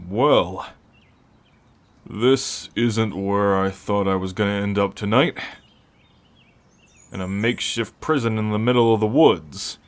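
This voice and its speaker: Guy doing a gruff moody werewolf boyfriend type, low voice, really slow with lots of pauses, asmr roleplay. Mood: wry. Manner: halting, sighing, sardonic. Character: gruff, brooding chemistry teacher turning werewolf, tenderly protective.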